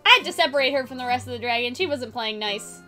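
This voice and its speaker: A woman voicing a bossy, teasing big sister in a visual novel, brisk and assertive. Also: on the high side, sharp tone, soft background music. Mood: cheerful.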